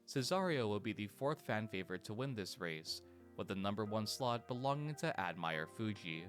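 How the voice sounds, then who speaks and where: Deep-voiced man narrating a documentary. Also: normal speed. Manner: steady storytelling delivery. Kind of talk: scripted narration. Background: soft music.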